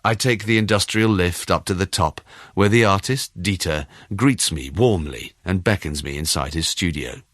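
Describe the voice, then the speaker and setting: A man with a low-pitched voice reads literary first-person fiction. His storytelling is measured and expressive, and the sound is dry, with very little room on it. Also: dry recording. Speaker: audiobook narrator.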